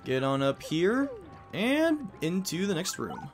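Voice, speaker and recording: A man hosting a let's play, giving chatty, self-mocking commentary with quick asides. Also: high-pitched, big pitch swings, soft background music. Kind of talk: casual game commentary.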